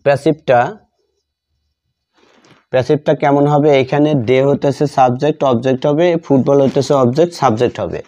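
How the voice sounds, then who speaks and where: A man, a native Bengali speaker, giving a step-by-step grammar lesson as a teacher, dictating deliberately term by term with repetition. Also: medium pitch, mellow.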